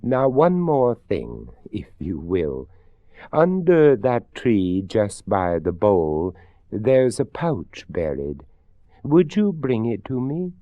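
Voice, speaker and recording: A man acts out a gentlemanly old skeleton from a storybook, lifted above his deep narrating register and unhurried. He is courteous and plaintive, phrasing everything with formal, genteel care in a dry, slightly dull recording. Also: huge pitch swings, no room sound, heavily acted. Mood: politely pleading.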